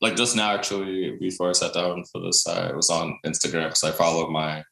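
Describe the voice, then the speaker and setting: Deep-voiced Brooklyn man in an echoey room. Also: level tone, fairly quick, gravelly, fairly bright tone. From Brooklyn, New York. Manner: chatty, many fillers, laughs often. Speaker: guest, contemporary dancer. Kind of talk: casual interview storytelling.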